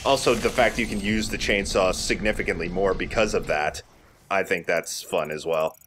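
A man's voice: low voice, steady tone, moderate pace, fairly bright tone. A man giving casual game commentary as a let's play commentator. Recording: game noise behind voice. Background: game ambience.

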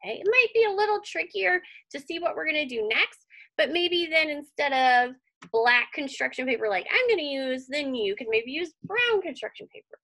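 Female craft teacher for children; lively swooping intonation with some creaky fry, informal, thinks aloud step by step. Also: sits high, moderate pace. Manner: chatty, thinking aloud, reassuring. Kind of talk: casual step-by-step craft tutorial, addressed to children. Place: indoor room at home.

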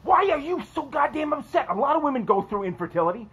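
An actor playing a blunt, profane, worn-down small-town American husband in a naturalistic audio drama. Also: male voice, very high-pitched, big pitch swings, quick pace, a bit bright, heavily acted. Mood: angry, exasperated. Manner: curt, profane. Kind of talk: naturalistic audio fiction acting.

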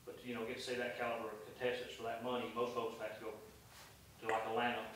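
A man's voice: medium pitch, monotone, quick pace, noisy background. A man addressing a city council at length, folksy and rambling with many fillers. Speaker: bull riding event organizer. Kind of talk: public comment at council meeting. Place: council meeting room. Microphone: far room camera. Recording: echoey room from far off.

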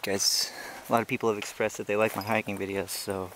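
A young man casually narrating a hiking vlog outdoors on a trail, explaining things in a relaxed, chatty way with many fillers, recorded on the handheld camera he films with. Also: lowish voice, a little fast, slight background noise, poor audio. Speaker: ant-keeping channel host. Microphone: handheld camera he is filming with.

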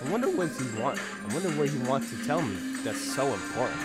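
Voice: medium pitch, big pitch swings, quick pace, over loud music, pitched below the performer's own voice, lightly acted. A gamer reading a flustered, meek schoolboy. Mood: flustered, apologetic. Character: meek, hesitant, apologetic schoolboy protagonist.

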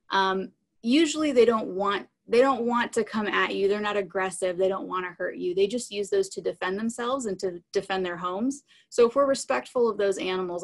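A woman in her thirties giving an informal live science lesson, explaining in plain, simple words from a small room over a video call. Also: medium pitch. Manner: explanatory, plain-spoken, addressing children simply. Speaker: science educator presenting live animals. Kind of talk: informal live educational talk with audience questions. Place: small room at home, indoors. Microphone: computer mic on a video call.

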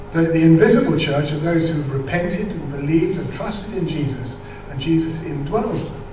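Older male church speaker, high voice, halting explanatory delivery, distant mic in a reverberant hall. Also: noisy background. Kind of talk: informal church talk with slides. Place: large church hall with audience, indoors. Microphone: microphone on a stand.

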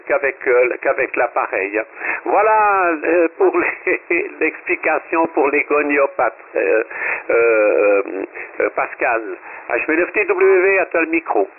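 A Swiss French radio amateur talks casually and keeps tagging his sentences with "hein". His voice comes through a thin, tinny shortwave link. A faint hiss sits under it. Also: fairly high pitch. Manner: chatty, many "hein" tags.

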